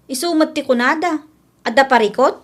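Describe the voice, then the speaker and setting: A chatty village busybody with a very high voice and an Ilocano Filipino accent, acted by a woman. She is curious and gossipy, in the style of a radio comedy drama. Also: brisk, natural voice. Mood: teasing, prying.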